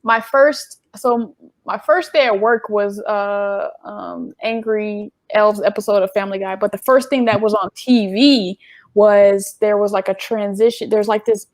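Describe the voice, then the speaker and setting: A woman's voice: mid-range voice, fairly even intonation, a little slow, no room sound. A woman in her thirties from Detroit with a light voice, chatting in a loose way with many fillers as an interview host. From Detroit, USA. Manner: chatty, lots of fillers and laughs. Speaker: host, animator. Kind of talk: casual interview talk.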